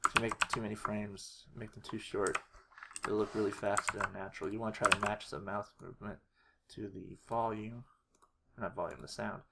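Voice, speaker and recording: Someone giving an informal editing walkthrough, talking haltingly and muttering to themselves while working. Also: low-pitched, fairly even intonation, creaky. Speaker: tutorial creator. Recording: noisy home recording, keyboard and clicks. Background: mouse clicks and keyboard typing.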